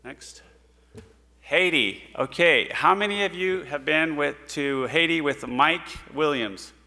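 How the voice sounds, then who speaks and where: A middle-aged man from Puerto Rico tells a church congregation about mission trips, earnest and unhurried, with frequent "uh"s between thoughts. His voice is bright and forceful, with a long hall echo from the sanctuary around him. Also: a little high. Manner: earnest, storytelling, pauses with fillers.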